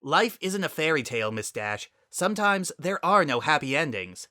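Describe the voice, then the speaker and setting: Guy doing a stern female pony officer, proud, hurt, sharp voice, cartoon story reading. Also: fairly high pitch, big pitch swings, normal speed, dry recording, studio quality, voice pushed up. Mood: bitter.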